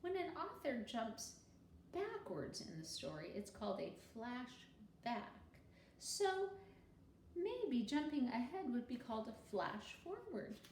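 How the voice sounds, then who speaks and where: Woman as kindly, unhurried schoolteacher. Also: on the high side, very animated, a little mellow. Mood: thoughtful. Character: kindly, thoughtful woman schoolteacher, encouraging and patient. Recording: roomy echo, faint background noise.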